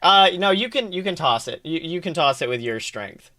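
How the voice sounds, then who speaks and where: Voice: mid-range voice, expressive, moderate pace, bright, forceful tone, dry recording. A game master narrating a tabletop adventure in an informal, improvised way, swearing casually. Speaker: game master and podcast host.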